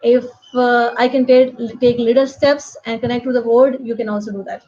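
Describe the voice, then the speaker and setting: A woman with a Pakistani accent hosting an online show, speaking English as a second language in a halting, repetitive, effusive way. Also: a little high, steady tone, dark tone. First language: not English. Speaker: host. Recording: dull, lo-fi online call audio.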